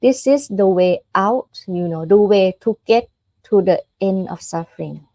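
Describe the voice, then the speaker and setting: A woman's voice: medium pitch, measured pace, warm tone, no room sound. A woman from Thailand with a Thai accent giving an informal dharma talk as a Buddhist nun, explaining step by step in a conversational way with check-in questions.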